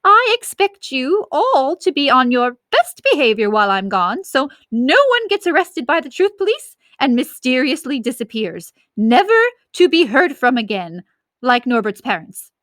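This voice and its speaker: A woman's voice: high voice, very animated, moderate pace, a bit bright, dry recording. A woman voicing a mean old female schoolteacher in a storybook, stern and spiteful.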